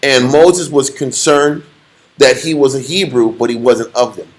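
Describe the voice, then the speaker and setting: A man's voice: medium pitch, measured pace, rough recording. A man leading a casual Bible study in a blunt, joking, slangy way.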